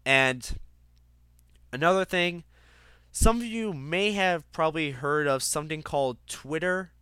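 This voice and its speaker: A man's voice: a little high, a little slow, sharp tone, clear, resonant tone, dry recording, clean studio sound. A young man hosting his own podcast, talking casually with lots of fillers and restarts, congested from a cold. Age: young adult. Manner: rambling, many fillers and restarts. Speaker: podcast host. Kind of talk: casual solo podcast monologue.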